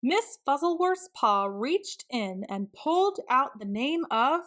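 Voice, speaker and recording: A woman reads a picture book aloud for children. Her voice is bright and a little high, and she takes her time. She lands on key words with deliberate, dramatic emphasis. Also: sing-song. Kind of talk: expressive storybook read-aloud narration.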